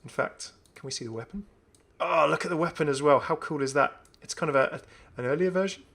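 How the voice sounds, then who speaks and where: A man's voice: on the high side, fast talker, gravelly, fairly bright tone. A young man giving casual, enthusiastic commentary on a video game. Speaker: gaming video host.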